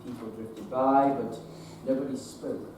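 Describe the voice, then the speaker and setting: A man's voice: medium pitch, steady tone, unhurried, lightly acted. A young man acting a wry, guarded Victorian doctor on stage, telling a dry story with chuckles. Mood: amused. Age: young adult. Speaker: stage actor. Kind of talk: live stage play acting. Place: theatre stage. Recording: echoey stage recording from far off, noisy.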